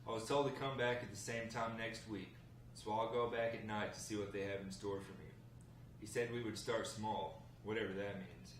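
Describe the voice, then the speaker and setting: A man's voice: fairly low pitch, fairly even intonation, moderate pace, natural voice. A man reading the part of a confident, defensive husband who is joining a gang, in a drama script table read. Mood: excited, relieved. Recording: echoey room, distant mic, faint noise.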